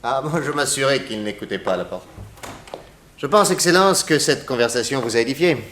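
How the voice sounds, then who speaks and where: An actor playing a shrewd, courteous detective who questions people with theatrical confidence, in a 1960s radio serial. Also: male voice, mid-range voice, expressive, fairly quick, a bit bright, slight background noise, close to an everyday voice. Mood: confident, deductive. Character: shrewd, probing, confident American male detective. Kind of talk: 1960s French radio drama acting.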